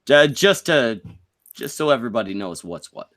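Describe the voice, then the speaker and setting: A man from Canada hosting a casual podcast chat, crude and joking, with sudden high squeaks when he gets excited. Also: normal speed. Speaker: podcast host.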